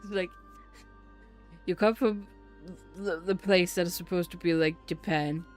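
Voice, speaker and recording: A man's voice: high voice, fairly even intonation, moderate pace. A man streaming a game with casual, teasing, self-deprecating commentary and some swearing. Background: game music.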